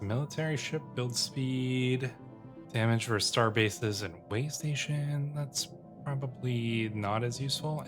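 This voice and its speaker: Music plays clearly behind a man with a mid-pitched voice commentating on a strategy game. He reads text aloud and thinks out loud in a musing way, as if talking to himself. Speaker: gaming video host.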